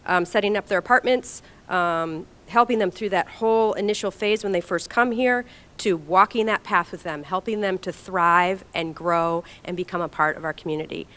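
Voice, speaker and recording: A woman's voice: medium pitch, fairly bright tone. A woman from a resettlement agency giving an informal, enthusiastic talk to recruit volunteers, with frequent ums.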